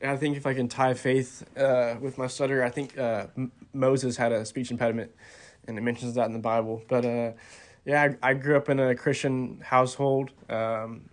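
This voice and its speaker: A college-aged man being interviewed on a podcast, soft-spoken and hesitant, with many ums and restarts. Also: mid-range voice, little pitch movement, normal speed. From Texas. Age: 20s. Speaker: college golfer, podcast guest. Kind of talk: casual interview talk.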